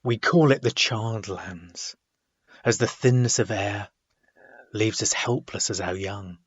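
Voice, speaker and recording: A man reading his own poetry dramatically, in measured, deliberate phrases broken by frequent pauses. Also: lowish voice, slightly rough, no room sound, highs cut off. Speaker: poet reading own work.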